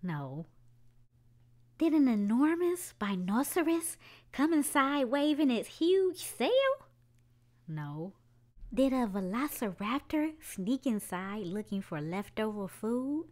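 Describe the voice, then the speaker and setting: Woman, children's storyteller, picture-book read-aloud, high voice, unhurried. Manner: slow, expressive read-aloud with drawn-out questions.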